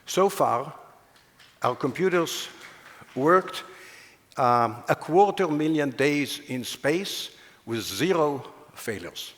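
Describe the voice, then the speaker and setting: A man in his seventies with an Israeli accent gives a prepared public speech in a measured, formal way with deliberate pauses. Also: on the high side, expressive. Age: 70s. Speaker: guest speaker, engineering professor and founder. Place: large hall, speaking to an audience. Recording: echoing hall with long reverb.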